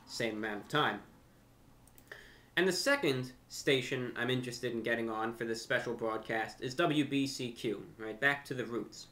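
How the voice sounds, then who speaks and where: A young man speaks to camera in a bright, forceful voice at a middling pitch. His phrasing is deliberate and a little formal, with pauses and repeated words as he works through his points like an online host. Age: young adult. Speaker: YouTube host and amateur radio broadcaster. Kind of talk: unscripted talk to camera. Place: furnished room at home, indoors.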